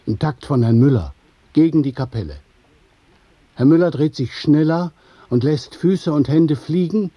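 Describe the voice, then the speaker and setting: A man's voice: on the high side, dark tone, husky, dry recording. A man narrating a scripted radio play in vivid literary prose. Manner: literary storytelling in vivid present tense.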